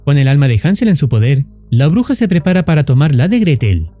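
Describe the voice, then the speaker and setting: A man's voice: on the high side, sing-song, brisk, mellow, soft background music. A man reading a scripted narration as the narrator of a horror story recap.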